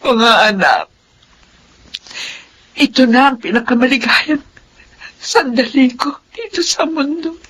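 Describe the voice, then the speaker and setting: A woman's voice: sits high, animated, a little slow, long pauses, a bit bright, breathy edge, heavily acted, poor audio. An actress playing a loving, grateful middle-aged mother in a Filipino radio drama, speaking tenderly and with emotion. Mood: tearful joy.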